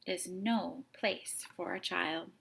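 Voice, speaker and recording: A woman narrates a children's story in a bright, forward voice with some gravelly creak at the edges. Her phrasing is deliberate and dramatic, as a storytime host reading a picture book to young listeners. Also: lowish voice, steady tone.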